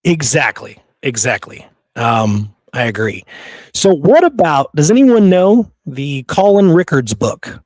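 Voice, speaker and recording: A man's voice: fairly high pitch, big pitch swings, unhurried, a little mellow, no echo. A man hosting a history show, telling stories conversationally from his research notes with casual, amused asides.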